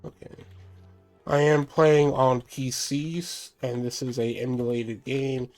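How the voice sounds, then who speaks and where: A man's voice: mid-range voice, little pitch movement, slow pace, a little mellow, soft background music. A man streaming a game with casual commentary, stuffy from a cold, apologetic and thinking aloud as he plays.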